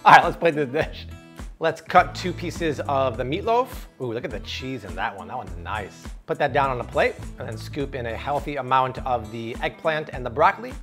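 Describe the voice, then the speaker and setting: A man's voice: medium pitch, normal speed, faint music behind. Upbeat man hosting a home cooking demo. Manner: energetic, jokey, chatty to the crew. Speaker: cooking show host. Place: home kitchen, indoors.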